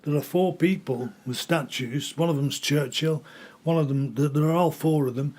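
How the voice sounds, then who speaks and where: Husky older man, rambling stories. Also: on the high side, moderate pace, a little mellow. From Scotland. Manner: rambling, repeats words while searching for names. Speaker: guest, former rugby player. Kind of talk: conversational interview storytelling.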